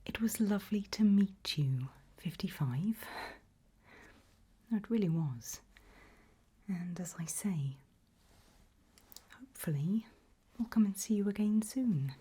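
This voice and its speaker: Slow, breathy whispering woman. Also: lowish voice. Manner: gentle, polite, unhurried. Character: kindly, curious research scientist interviewing an anomaly. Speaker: ASMR roleplay performer. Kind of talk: whispered ASMR roleplay.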